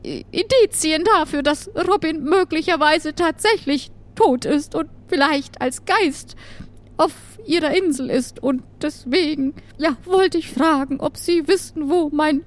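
A woman playing an anxious, flustered young woman who rambles haltingly in an improvised audio drama. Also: sits high, voice pushed up. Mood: nervous, pleading.

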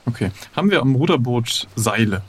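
A man's voice: medium pitch, animated, brisk, breathy edge. Man thinking aloud in a roleplay. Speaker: player leading an improvised pirate adventure game. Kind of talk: improvised tabletop roleplay talk.

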